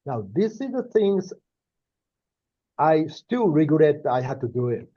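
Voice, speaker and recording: A man's voice: fairly high pitch, very animated, dark tone, lo-fi sound. Japanese-accented older man lecturing. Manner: thoughtful, halting, frequent fillers and restarts. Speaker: university professor giving a lecture. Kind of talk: lecture with personal anecdotes.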